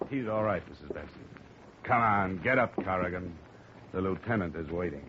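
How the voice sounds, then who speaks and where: A man's voice: sits low, moderate pace, noisy background, natural voice. A man playing a wisecracking, hard-boiled insurance investigator who narrates his case in a 1950s radio drama. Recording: dull lo-fi 1950 radio transcription with music.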